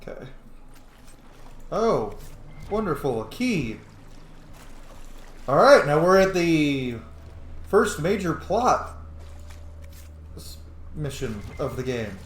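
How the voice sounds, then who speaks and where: Male let's player, higher-pitched and very animated, jokey and sweary, casual banter, roomy sound. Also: slow pace, long pauses. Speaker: game streamer, let's player. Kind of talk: casual gameplay commentary.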